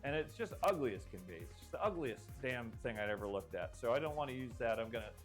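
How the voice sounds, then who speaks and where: A man hosting a live stream game show, rambling and jokey with many restarts, his voice getting hoarse. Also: mid-range voice, expressive, fast, soft background music. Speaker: host.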